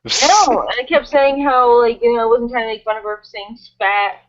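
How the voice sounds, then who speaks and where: Woman, rambling filler-heavy chat, slightly high voice. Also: a little fast. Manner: rambling, full of "like" and "um". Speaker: cast member of an audio drama. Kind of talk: casual chat. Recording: dull lo-fi sound.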